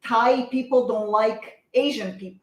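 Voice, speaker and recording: A woman talks on a show in a forceful, lecturing way, pressing each point home. Her voice sits a little high, and the room adds a noticeable echo. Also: unhurried, dull audio. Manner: emphatic, lecturing, insistent. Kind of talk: opinionated talk show discussion.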